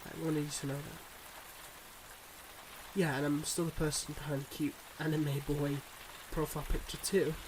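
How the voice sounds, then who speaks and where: Man playing a tender, guarded mob boss boyfriend, higher-pitched. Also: flat delivery, background noise, lightly acted. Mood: bittersweet, devoted. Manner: earnest, measured, halting confessions. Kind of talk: ASMR boyfriend roleplay, intimate monologue.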